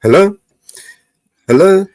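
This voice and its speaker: A man's voice: sits high, lively intonation, slow pace, darkish tone, dry recording, studio quality. A man with a British accent, hosting a live stream and calling a police department, hesitant and full of fillers as he explains. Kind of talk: live-streamed phone call.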